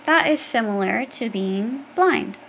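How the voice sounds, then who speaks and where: A woman's voice: on the high side, animated, slow, a bit bright, a little hiss or hum. A woman reading an educational children's book aloud, explaining things directly to young listeners. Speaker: audiobook reader.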